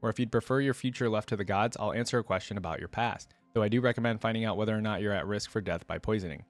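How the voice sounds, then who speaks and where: A man's voice: lowish voice, racing, dry recording, faint music behind, natural voice. A man reading the part of a wry, chatty young woman who tells fortunes at a fantasy market. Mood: hawking.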